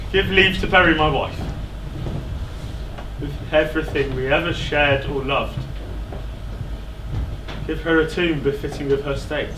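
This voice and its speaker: A man on stage playing an anguished, self-loathing fallen king in a classical tragedy, declaiming in halting, pleading phrases. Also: on the high side, close to an everyday voice. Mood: resigned sorrow. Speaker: stage actor. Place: indoor theatre stage. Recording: echoey theatre, distant microphone, faint noise.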